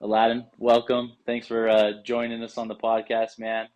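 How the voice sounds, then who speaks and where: A man co-hosting a bird podcast, laid-back and quick with short reactions. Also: mid-range voice, completely flat delivery, muffled recording, a crowd in the background. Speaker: podcast co-host. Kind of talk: casual chat.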